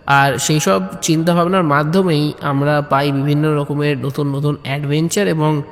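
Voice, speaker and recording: A man's voice: a little high, fairly even intonation, moderate pace, long reverb tail. A man narrating a comic story conversationally, in the first person.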